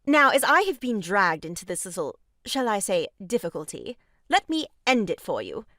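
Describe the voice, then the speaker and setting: A woman's voice: mid-range voice, sing-song, moderate pace, sharp tone, no room sound, studio quality. An actress playing a meddling, pompous woman in a stage farce, declaiming with melodramatic grievance. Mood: brisk, condescending. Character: meddling, theatrically aggrieved sister-in-law, grandly moralizing woman. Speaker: voice actor. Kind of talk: stage farce audio drama acting.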